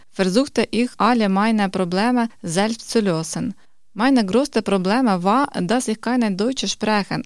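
A woman in her thirties from Ukraine, reading out a personal statement in steady learner's German. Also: medium pitch, dry recording, clean studio sound. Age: 30s. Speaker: course participant.

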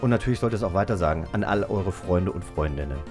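A man's voice: sits low, expressive, fast, darkish tone, soft background music. A man speaking informally and enthusiastically as a co-host in a casual podcast chat.

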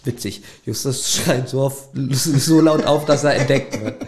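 A man's voice: medium pitch, unhurried. A man co-hosting a casual podcast, reading a plot summary aloud and breaking off for chatty side comments. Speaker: podcast co-host.

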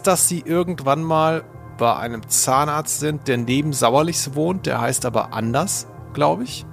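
Musing man with a creaky voice. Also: mid-range voice. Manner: musing, thinking aloud. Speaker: podcast co-host and quiz contestant. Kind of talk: casual podcast chat.

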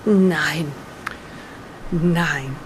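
A woman's voice: low-pitched, extremely slow, slight background noise. Woman acting uneasy 1920s occult diary reader. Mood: shocked, uneasy. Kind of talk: in-character audio drama retelling.

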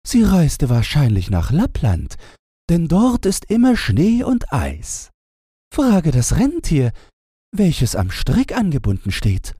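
A man's voice: fairly high pitch, huge pitch swings, slow pace, dark tone, slightly rough, dry recording, studio quality, voice pushed up, full character voice. Man doing cooing wood pigeons. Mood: helpful. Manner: cooing, chattering.

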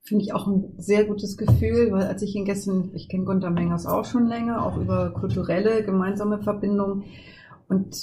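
A woman's voice: mid-range voice, fairly even intonation, normal speed, mellow, a little hiss or hum, low-quality recording. A woman speaking casually and with enthusiasm in a podcast conversation. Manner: enthusiastic, praising.

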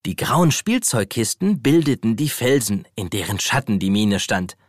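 A man's voice: lowish voice, very animated, normal speed, dry recording, clean studio sound. A man narrating a scripted children's story as the storyteller of a radio play. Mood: storytelling. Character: storyteller of a children's toy adventure.

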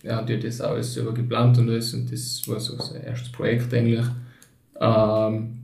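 A young man in his twenties with a Tyrolean accent, talking in a casual, reflective way and often pausing on fillers. His voice is mellow and gravelly, with little pitch movement. He sounds a bit distant from the mic, in a roomy echo. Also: completely flat delivery. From born in Turkey, raised in Tyrol. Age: 20s. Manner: reflective, hesitant with many fillers. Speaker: guest. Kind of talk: casual conversation.

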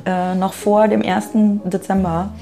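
A woman's voice: mid-range voice, little pitch movement, a little slow, vocal fry, dark tone. A woman in a casual, spontaneous chat, sharing her own habits and putting questions to her conversation partner.

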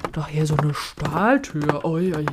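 A woman's voice: deep voice, measured pace, very noisy. A woman co-hosting a podcast, chatting and joking through an improvised spy role-play and taking charge when she counts down. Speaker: podcast co-host.

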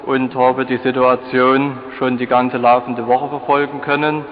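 A man in his thirties introduces himself slowly, in an even monotone. The sound is lo-fi archival tape with long church reverb. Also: mid-range voice. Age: 30s. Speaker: assembly speaker. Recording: echoey church hall, dull 1989 tape.

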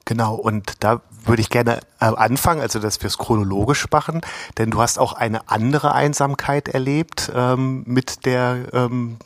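A man's voice: mid-range voice, moderate pace, no echo, studio quality. A man hosting a podcast, speaking conversationally and asking guiding questions. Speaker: podcast host. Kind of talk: interview hosting.

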